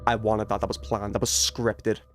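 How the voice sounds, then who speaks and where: A man doing casual, excitable gaming commentary, thinking aloud in slang as he chats with stream viewers. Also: lowish voice, normal speed, music in the background. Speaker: video game livestreamer.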